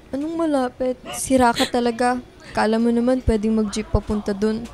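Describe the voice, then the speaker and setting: A woman's voice: on the high side, fairly even intonation, normal speed, a crowd in the background, close to an everyday voice. A woman playing a loyal, guarded young best friend with a blunt, natural delivery in radio drama dialogue. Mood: teasing, reassuring.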